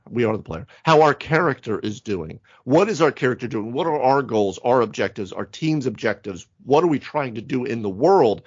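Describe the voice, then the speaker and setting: A man's voice: medium pitch, no echo. Man in casual chat, dry slightly dull audio. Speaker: podcast host and game master. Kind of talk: casual tabletop gaming chat.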